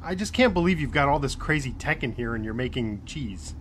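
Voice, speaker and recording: A blunt young crewman played by a man in a naturalistic sci-fi audio drama. His voice is on the low side and quick, casual and incredulous, over faint ambience. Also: expressive, fairly bright tone, a little hiss or hum, lightly acted. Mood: skeptical.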